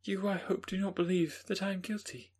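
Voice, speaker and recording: A man voicing a gentle, condemned young woman who speaks softly and mournfully, resigned to her fate. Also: high voice, flat delivery, a little fast, husky, voice pushed up. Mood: grateful. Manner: soft, mournful, tender pleading. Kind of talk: audiobook character reading.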